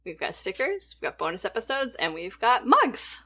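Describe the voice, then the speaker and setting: A woman hosts a podcast in an easygoing way, upbeat and laughing easily. Her voice sits fairly high. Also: sing-song, moderate pace, bright, forceful tone. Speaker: podcast host. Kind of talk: casual chat.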